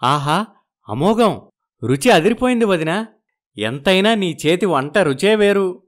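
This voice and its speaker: A man's voice: sits high, expressive, unhurried. A man playing a genial, food-loving village brother-in-law in a moral story, effusive and full of exclamations. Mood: delighted.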